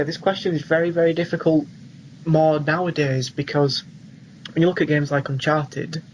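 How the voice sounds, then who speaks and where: A man's voice: on the high side, slight background noise, low-quality recording. A young British man co-hosting a podcast, talking informally and agreeably in casual chat. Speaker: podcast co-host. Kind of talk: casual film and game chat.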